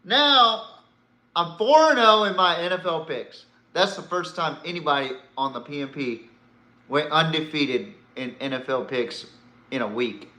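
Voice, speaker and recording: Slow-talking man with a bright, echoey sound. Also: on the high side. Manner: blunt, swearing, rhetorical questions. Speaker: sports podcast host. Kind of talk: casual opinionated sports commentary.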